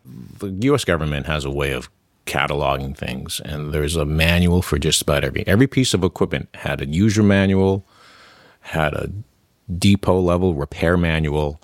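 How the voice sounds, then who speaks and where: A middle-aged man from New York City speaks in a very deep, low register. He talks reflectively in a relaxed interview, livening up when he tells a story. The sound is close and dry. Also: normal speed, no echo. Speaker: interview guest, amateur actor. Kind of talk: conversational interview.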